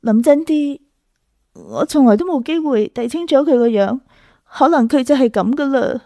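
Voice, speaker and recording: A woman's voice: sits high, animated, moderate pace, warm tone, no room sound, studio quality. A woman playing a wistful, pensive young artist in a radio drama, speaking hesitantly and reflectively.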